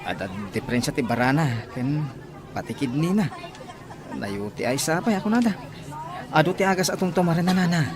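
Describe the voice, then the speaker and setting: A quick-talking young man, acted by a man with a slightly high voice and an Ilocano Filipino accent. He is polite and informative, in radio drama style, over busy crowd noise. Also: lively intonation, lightly acted. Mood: respectful. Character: younger man respectfully informing an elderly woman. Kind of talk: Ilocano audio drama acting. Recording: busy crowd noise behind, distant timbre.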